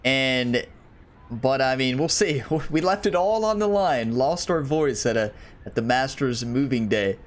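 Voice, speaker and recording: A man's voice: mid-range voice, animated, unhurried, slight background noise. A man giving casual let's-play commentary, chatting to his viewers as he plays, his voice a little worn. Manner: chatty, talks to viewers while playing. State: slightly losing his voice. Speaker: gaming YouTuber.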